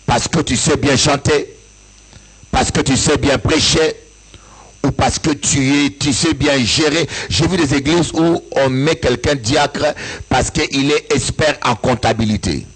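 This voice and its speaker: A bishop from Africa giving an impassioned church sermon, his voice rising in sing-song peaks and breaking into shouts. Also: a little high, slightly rough, rough recording. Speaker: bishop and pastor. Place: church service with an audience.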